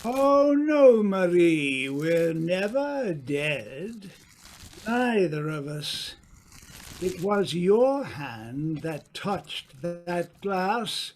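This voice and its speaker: A man playing a sinister, gloating undying author in an old-time radio play, slow and insinuating in his theatrical delivery. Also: high voice, big pitch swings, heavily acted. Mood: eerie, coaxing.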